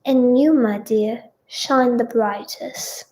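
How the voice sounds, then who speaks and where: A woman's voice: a little high, slow pace, warm tone, poor audio. A woman voicing a doting, worried husband in a story, with a British accent and a tender, coaxing manner.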